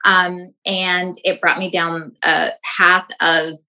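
A woman's voice: fairly low pitch, little pitch movement, pushed and bright, rough recording. A woman in her thirties, a wellness coach guest, talking casually and chattily, with lots of fillers. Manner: chatty, full of fillers, quick bursts. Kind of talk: casual interview chat.

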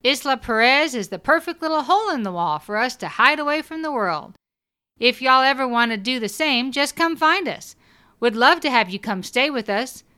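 A man's voice: extremely high pitch, expressive, normal speed, pushed and bright, smooth, voice pushed up, full character voice. A man voicing a bubbly, hospitable woman, brassy and reassuring, in audiobook dialogue. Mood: breezy, inviting.

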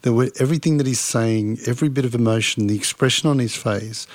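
A man's voice: medium pitch, quick pace, dry recording. A man co-hosting a podcast, talking in an earnest, appreciative way. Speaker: podcast co-host. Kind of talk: casual podcast chat.

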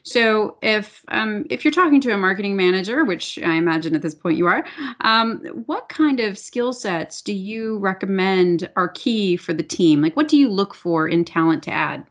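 A woman's voice: mid-range voice, animated, normal speed, hardly any pauses, a bit bright. A woman hosting a podcast, asking casual questions. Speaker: podcast host.

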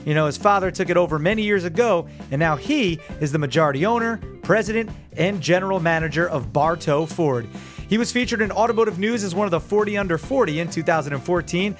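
Man, podcast host, fairly high voice, upbeat, chatty, soft music behind. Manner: upbeat, chatty, many restarts. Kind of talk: casual interview chat.

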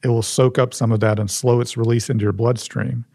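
A man's voice: medium pitch, little pitch movement, vocal fry, warm tone, no room sound. Man explaining a museum object, dry sound. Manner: halting, restarts often.

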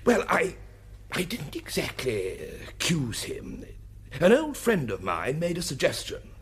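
An actor playing a proud, flustered English duke testifying in a radio drama, with an upper-class English accent and a clipped, slightly husky, hesitant delivery. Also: male voice, on the high side, wildly swooping pitch, measured pace, heavily acted. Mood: flustered, indignant. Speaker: witness at an inquest.

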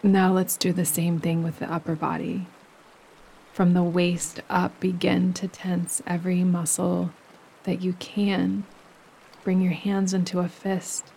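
A woman leading a guided relaxation meditation as a yoga nidra teacher, speaking gently and soothingly, with pauses between phrases. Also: lowish voice, gravelly, a little hiss or hum, poor audio. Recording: steady running stream water behind voice. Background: gentle running stream sounds.

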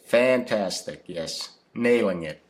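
A man from Finland, answering interview questions in a halting way, full of fillers and restarts. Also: on the low side, lively intonation. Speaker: podcast guest, company founder.

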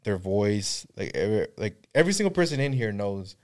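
Casual podcast co-host, male, lower register, relaxed and profane. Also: brisk. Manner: laid-back, profane, rambling.

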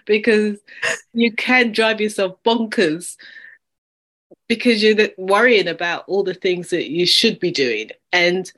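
A woman's voice: mid-range voice, measured pace, a bit bright. Earnest woman podcast co-host. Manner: earnest, talks in vivid images. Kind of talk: casual podcast chat.

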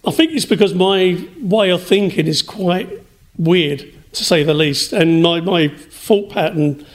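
A man answering as a writer in a radio interview, in hesitant, measured phrases. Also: high-pitched. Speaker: interview guest, writer and storyteller.

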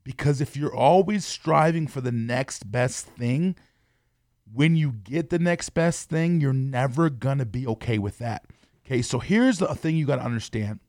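A young man hosts a motivational podcast, speaking in an earnest, encouraging way straight to his listeners. His voice sits at an ordinary middle pitch. The sound is dry and close, with no room echo. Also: animated, moderate pace, darkish tone. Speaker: podcast host.

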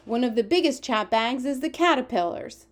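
An earnest, big-hearted giant from a children's storybook, acted out by a woman. He speaks in a raised, high-set pitch for a giant, with proud wonder and quaint, muddled grammar. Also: expressive, normal speed. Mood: delighted. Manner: ungrammatical, quaint invented words, wonder-filled.

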